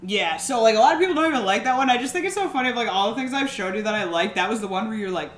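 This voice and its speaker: A woman's voice: on the high side, lively intonation, racing. Chatty young woman in a roomy room. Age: 20s. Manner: chatty, rambling with many fillers. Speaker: podcast co-host. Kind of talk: casual chat. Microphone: one shared microphone. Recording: roomy room, shared mic.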